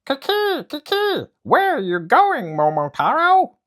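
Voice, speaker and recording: A chattering squeaky monkey. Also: male voice, wildly swooping pitch, slow, fairly bright tone, exaggerated voice. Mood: chirpy. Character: chattering mischievous male monkey.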